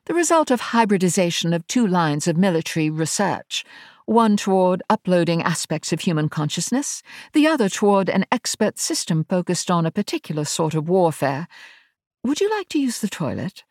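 A woman voicing a brisk, matter-of-fact tech specialist with an English accent, in an audiobook story. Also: lowish voice, sing-song, a little mellow, no room sound, studio quality, voice pushed up. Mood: dry, precise.

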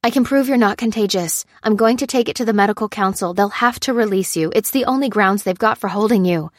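A woman's voice: mid-range voice, lively intonation, normal speed, no echo, clean studio sound, voice pushed up, lightly acted. A woman voicing an anguished, determined female researcher in an audiobook, breathless and pleading. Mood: aghast, urgent. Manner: breathless, urgent, insistent.

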